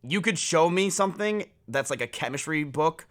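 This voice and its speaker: A young man with a New Jersey accent and a deep voice, giving blunt, profane, joking live reaction commentary. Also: expressive, normal speed. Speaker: streamer reacting to a video.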